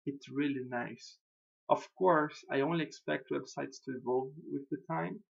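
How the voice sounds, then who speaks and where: A gravelly-voiced man whose first language is Portuguese talks through a website design in loose, informal English. He repeats himself, restarts sentences and chuckles at his own slips. The recording sounds a little dull and lo-fi. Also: mid-range voice, normal speed. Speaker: web designer. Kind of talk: casual screen-recorded walkthrough.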